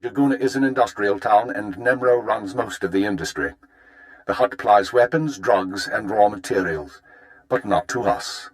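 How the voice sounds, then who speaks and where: A man playing a stern, formal intelligence chief in a video game, giving measured, authoritative orders. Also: fairly low pitch, natural voice, poor audio. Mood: formal, businesslike. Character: stern male imperial intelligence chief briefing an agent. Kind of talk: scripted video game dialogue.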